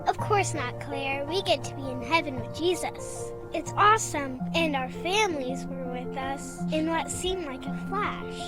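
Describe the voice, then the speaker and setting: A performer playing a cheerful child spirit from heaven in a children's audio drama, explaining gently and earnestly. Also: high voice, a little slow, hardly any pauses. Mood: cheerful, reassuring. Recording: children's music under the voice.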